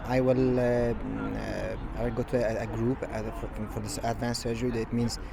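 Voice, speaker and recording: A man with an Afghan accent answering interview questions in halting, non-native English. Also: mid-range voice, level tone, vocal fry. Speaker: general surgeon. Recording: crowd chatter behind.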